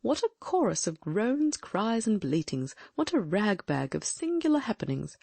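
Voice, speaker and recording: A woman performs a confident Victorian detective who thinks aloud in crisp, exact sentences. The voice moves up and down a lot, with a gravelly, slightly husky grain. The recording is very dry and close. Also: medium pitch, sing-song, moderate pace, darkish tone, dry recording. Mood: musing. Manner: crisp, reasoning aloud, precise diction. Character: brilliant Victorian gentleman detective, male, sharp and confident. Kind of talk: audiobook dramatic reading.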